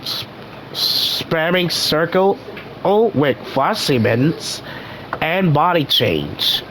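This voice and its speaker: A young man hosting a casual gaming vlog, rambling with many restarts while his voice recovers from being hoarse. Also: a little high, animated, extremely slow, noisy background. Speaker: gaming channel host.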